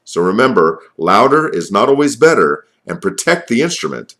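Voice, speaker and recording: A man with a notably deep voice gives informal, instructional advice as a preaching coach. He is earnest and stresses his key points, with hesitant pauses between phrases. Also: big pitch swings, a bit bright. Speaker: open-air preaching coach and radio host.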